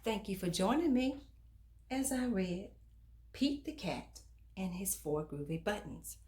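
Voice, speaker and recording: A woman reads a children's storybook aloud as host, in an expressive, emphatic manner that speaks directly to young listeners. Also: mid-range voice, measured pace. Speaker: children's book reader and host.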